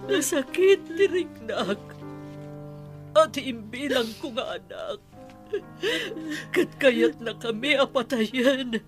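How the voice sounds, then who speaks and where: A grieving mother in a radio drama, played by a woman, pleading in a high voice. She speaks very slowly and tearfully, halting between phrases, with music behind her. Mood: tearful anguish. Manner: slow, tearful, halting delivery. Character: grieving, pleading mother and household servant, Nana Glory. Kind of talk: emotional Ilocano radio drama acting.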